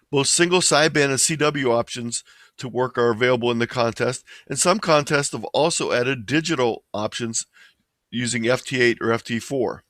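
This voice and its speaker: A man's voice: medium pitch, fairly bright tone, dry recording, studio quality. A man presenting an informational webinar from slides in a plain, explanatory way.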